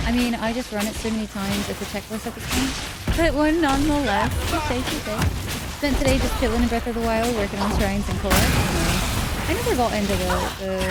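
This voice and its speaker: A tired woman chatting casually with her viewers while she streams a game late at night. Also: mid-range voice. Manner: chatty, thanks viewers and reads chat aloud. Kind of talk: casual chat while gaming live.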